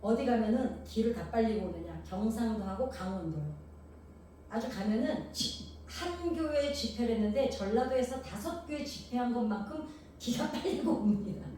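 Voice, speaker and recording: A woman's voice: on the high side, lively intonation, moderate pace, mellow, a little hiss or hum. A middle-aged Korean woman with a Jeolla-tinged accent preaching a sermon, asking the congregation questions and telling homely stories. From Gangwon Province, South Korea. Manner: poses rhetorical questions to the congregation; homely, anecdotal storytelling. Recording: echoey hall from far off.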